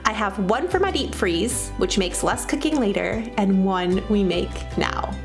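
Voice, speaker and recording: A woman's voice: medium pitch, lively intonation, unhurried. A woman from the Midwest in her thirties, narrating cooking steps in a cheerful, chatty voice-over. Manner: cheerful, chatty, walks through recipe steps. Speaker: cooking channel host. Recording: voice-over under loud music. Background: background music.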